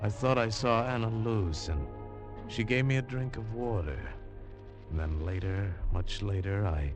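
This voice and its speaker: A man's voice: fairly low pitch, a little slow, over music, close to an everyday voice. An actor playing an earnest, hard-boiled adventurer who narrates his own tale in a 1940s radio drama. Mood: dazed narration. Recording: vintage 1940s radio recording.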